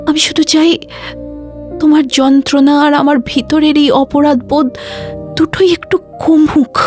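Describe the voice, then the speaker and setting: A woman performs a daughter worn out by caring for her father, in an intimate audio drama. Her voice is high and her delivery slow, confiding and full of feeling. Music plays behind her. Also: fairly even intonation, a little mellow. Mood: anguished, exhausted.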